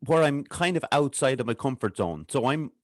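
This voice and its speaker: A man's voice: medium pitch, expressive, normal speed, no room sound, studio quality. A man hosting a podcast, asking loose, modest questions with lots of fillers. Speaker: podcast host. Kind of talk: casual interview chat.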